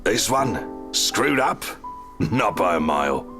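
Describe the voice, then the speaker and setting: A man's voice: on the low side, big pitch swings, takes it slowly. A man voicing an amused older barman in a visual novel, teasing and fatherly, with an easygoing, familiar manner. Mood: wistful teasing. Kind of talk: visual novel dialogue read aloud. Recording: music playing behind the voice.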